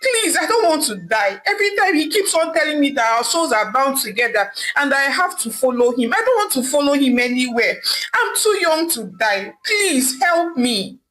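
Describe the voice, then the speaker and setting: A woman's voice: high voice, big pitch swings, moderate pace, a bit bright, poor audio. A woman storyteller voicing a desperate young woman who cries and pleads in fear. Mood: tearful pleading. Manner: crying, pleading, repeating herself.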